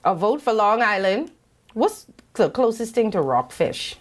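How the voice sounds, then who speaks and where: A woman's voice: medium pitch, big pitch swings. A Bahamian woman co-hosting a call-in radio show, playful and teasing in casual banter. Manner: playful, teasing, quick to laugh.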